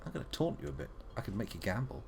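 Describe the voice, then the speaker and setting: A man's voice: mid-range voice, very animated, rapid-fire, vocal fry, a little mellow, a little hiss or hum. A young man streaming a video game live, playful and joking, with muttered asides.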